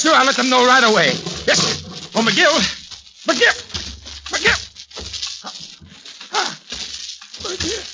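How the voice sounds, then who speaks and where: High-pitched excitable prison warden. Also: male voice, creaky, lo-fi sound, exaggerated voice. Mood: gasping, urgent. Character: excitable prison warden, relieved then suddenly stricken. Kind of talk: 1940s radio acting. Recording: noisy vintage 1940s radio recording.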